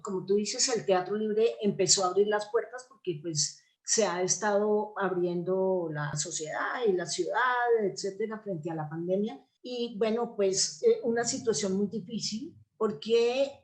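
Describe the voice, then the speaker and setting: Casting: Colombian woman, mid-pitched voice, interview guest from the theatre world, talking conversationally. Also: a little slow, hardly any pauses, a little mellow.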